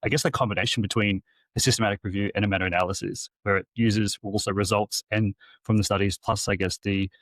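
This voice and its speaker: Male podcast co-host, quick and hesitant, lowish voice, dry sound. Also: fairly even intonation, dry recording, poor audio. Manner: rambling, hesitant, trails off quietly. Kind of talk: casual podcast chat.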